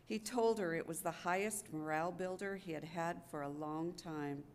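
A woman's voice: on the low side, normal speed. An older woman reads a wartime letter aloud as a readers theater performer at a podium microphone. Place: public gallery event room, indoors. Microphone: gooseneck podium microphone. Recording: clearly noisy live room recording.

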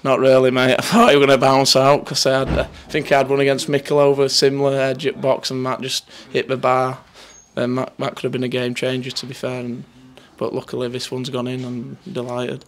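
Male, twenties, Northern English footballer in post-match interview mode. Very monotone delivery, matter-of-fact, mid-pitched. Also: brisk, few pauses. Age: 20s. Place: indoors in front of a sponsor board. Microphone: handheld interview microphone held near him.

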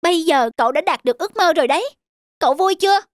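A woman's voice: very high voice, animated, fast, no room sound, clean studio sound. A woman voicing an earnest, loyal schoolboy in a cartoon, with a sincere way of talking. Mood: hurt, sincere. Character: earnest, loyal schoolboy, hurt but forgiving. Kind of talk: cartoon dubbing. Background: prominent music.

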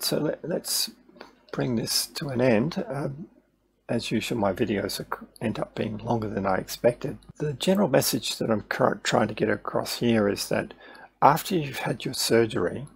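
A man's voice: mid-range voice, creaky. A middle-aged man talking to his webcam through a headset mic about his own recovery, candid and thinking aloud with frequent restarts. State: voice still recovering after losing it. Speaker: video creator sharing his recovery. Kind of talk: casual talk to camera over slides.

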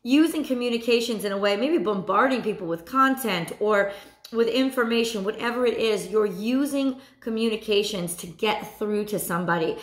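A woman in her thirties with a hoarse voice, giving a chatty weekly tarot reading to a webcam at home. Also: mid-range voice, moderate pace, reverberant. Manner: animated, repeats phrases, chatty asides. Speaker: tarot reader. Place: small room at home.

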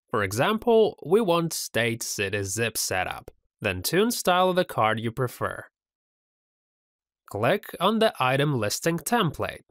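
Lively man narrating a software tutorial. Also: mid-range voice, no echo, clean studio sound. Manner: upbeat, step-by-step instructions. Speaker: software team presenter. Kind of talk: scripted tutorial voice-over. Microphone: voice-over.

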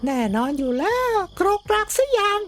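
Cartoon grandson: very high boyish pitch, chatty, cheeky, naive. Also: female voice, very animated, brisk. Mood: eager, explaining. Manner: earnest, rambling explanations with cheeky asides. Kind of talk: cartoon comedy dialogue.

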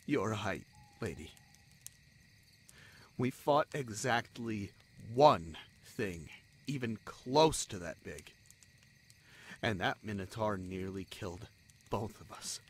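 Man voicing young spider-folk man, anguished lover, audio drama monologue, slow halting pleading, very animated pitch, faint cricket ambience. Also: slight background noise. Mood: grim warning.

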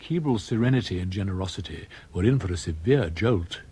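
An actor playing a dry, droll male storyteller with an English accent, narrating a radio play, his voice husky. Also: sits low, animated, a little fast, mellow. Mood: wry. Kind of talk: scripted radio drama narration.

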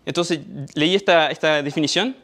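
A man's voice: fairly high pitch, normal speed, a bit bright. Hesitant Chilean man giving a talk. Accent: Chilean Spanish. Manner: hesitant, many fillers and restarts. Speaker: conference speaker. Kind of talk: tech talk presentation. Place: dark auditorium stage.

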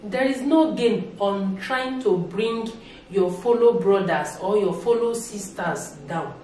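A young woman from Nigeria with a Nigerian accent, talking to camera in an animated vlog. She repeats words for emphasis and mixes in Nigerian Pidgin. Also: medium pitch, takes it slowly. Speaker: YouTuber. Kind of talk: casual opinion vlog to camera. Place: indoors, living room with a sofa. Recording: echoey room, distant mic, faint background hiss.

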